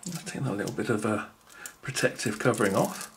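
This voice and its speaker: A man's voice: fairly low pitch, animated, brisk, background noise. A man, thinking aloud with many fillers as he unboxes a gadget at a table indoors. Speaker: unboxing video host. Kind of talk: casual unboxing commentary.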